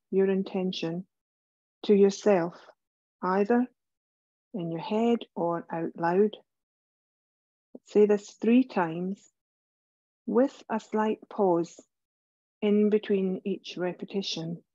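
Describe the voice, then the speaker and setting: A woman leading a guided relaxation meditation as a yoga teacher, gentle and soothing, speaking in measured phrases with pauses. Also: mid-range voice, no echo, dull audio.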